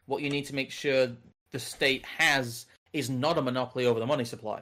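A podcast co-host from the North of England who speaks in a neutral English accent. His voice sits at a middling pitch, and he talks in a loose, rambling way, often restarting his sentences. It's a relaxed discussion rather than a formal broadcast. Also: a little fast, slight background noise. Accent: General English. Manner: rambling, self-correcting. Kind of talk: casual political discussion.

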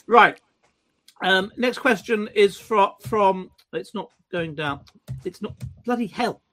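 A man with a high voice hosts a casual chat and reads listener questions aloud. He keeps breaking off and restarting his sentences, and he slips in wry jokes as he goes. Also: a bit bright. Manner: halting, stammering restarts; wry, joking. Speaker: host of an online pub show.

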